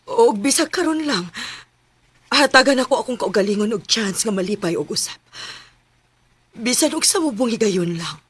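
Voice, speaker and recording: A woman's voice: a little high, lively intonation, normal speed, long pauses, a bit bright, slightly rough. An actress playing an anguished middle-aged mother torn by an old love, in melodramatic Cebuano radio drama style. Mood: wistful.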